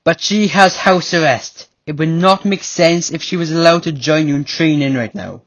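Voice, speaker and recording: A man's voice: fairly high pitch, normal speed, dry recording, highs cut off. A man playing a busy, impatient nobleman in a fantasy audio roleplay, with a formal, haughty way of talking. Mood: annoyed.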